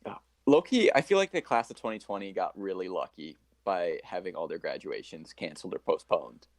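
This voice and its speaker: A man's voice: low-pitched, lively intonation, a little fast, no echo. A young American man giving opinions in casual chat with friends as a podcast co-host. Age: young adult.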